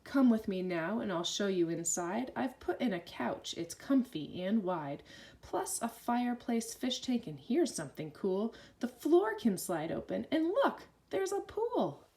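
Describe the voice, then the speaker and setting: A woman reading a rhyming children's story aloud with an expressive, sing-song delivery. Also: a little high, a little slow.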